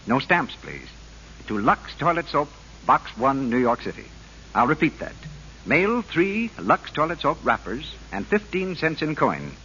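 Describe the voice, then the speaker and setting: An American male announcer delivers a polished old radio sales pitch. His voice is forceful and lively, swinging widely in pitch. The recording has a faint hiss. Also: lo-fi sound. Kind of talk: 1940s radio advertising pitch.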